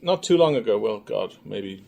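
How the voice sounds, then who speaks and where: A man speaking as a creative guest in a casual interview, halting and thoughtful as he searches for his words. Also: fairly low pitch, sing-song, warm tone. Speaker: filmmaker and audio drama creator, interview guest. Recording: clearly noisy room ambience.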